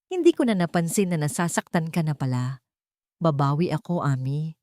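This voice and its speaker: A woman's voice: low-pitched, lively intonation, normal speed, mellow, no room sound. A Filipino husband voiced by a woman narrator pleads with his wife in a tender, coaxing way. He is apologetic and sweet-talking, in a dry storybook recording. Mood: apologetic, pleading.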